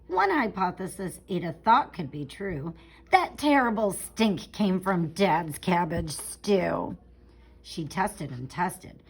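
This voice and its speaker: A woman reading a rhyming children's picture book aloud in an expressive, theatrical way, stretching out key words for emphasis. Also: medium pitch, husky, rough recording. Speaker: storybook reader.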